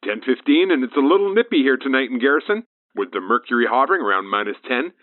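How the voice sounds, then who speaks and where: An actor playing a brisk, folksy small-town radio newsman reading a local news and weather bulletin. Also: mid-range voice, animated, dry recording, narrow, tinny audio, lightly acted.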